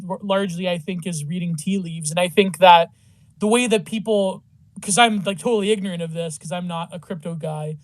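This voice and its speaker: High-voiced rambling man. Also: no room sound. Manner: rambling, heavy use of "like", joking. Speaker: participant. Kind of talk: casual online discussion. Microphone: online audio chat.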